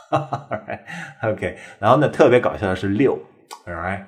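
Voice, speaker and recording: A young man from China doing a bilingual stand-up comedy lesson as host, animated and leaping high in pitch on exclamations. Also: measured pace. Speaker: English-teaching comic host.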